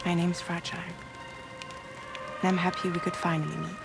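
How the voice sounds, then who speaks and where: An actress playing a calm, reassuring recruiter in a cinematic video game, speaking gently and deliberately with great earnestness. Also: female voice, lowish voice, creaky. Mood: tender, reassuring. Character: calm, reassuring woman recruiting an outcast ally. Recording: loud music and rain underneath.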